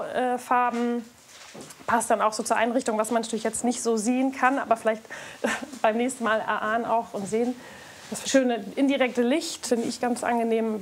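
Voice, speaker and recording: A woman in her forties shows off the renovated holiday rooms in a slightly high voice. She is relaxed and cheerful, with faint background noise. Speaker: estate co-owner. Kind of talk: casual tour of rooms being renovated.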